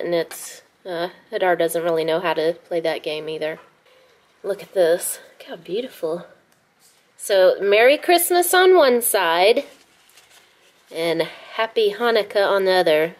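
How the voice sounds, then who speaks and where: A woman vlogging at home, chatting casually while she holds up greeting cards and reads them aloud into a handheld camera. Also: mid-range voice. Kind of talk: casual vlog narration.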